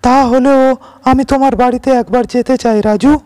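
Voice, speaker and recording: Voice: high-pitched, steady tone, a little fast, no echo, clean studio sound, close to an everyday voice. An actor voicing a kindly, assured rich businessman in a cartoon moral story, speaking courteously. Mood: friendly. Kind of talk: Bengali animated moral story dialogue. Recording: loud music under the voice.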